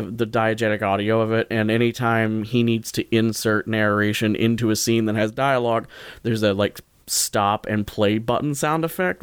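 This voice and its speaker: A man's voice: mid-range voice, clear, resonant tone, no echo. Casual man podcasting, clean close dry voice. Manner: rambling, self-correcting, jokey, informal with swearing. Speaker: podcast co-host. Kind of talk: casual solo podcast talk, recommending.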